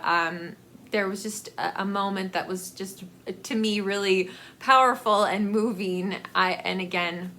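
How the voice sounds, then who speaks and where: A woman's voice: mid-range voice, sharp tone, slight background noise. An American woman talking casually to her book vlog viewers in a rambling, self-deprecating, confiding way. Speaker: book vlogger.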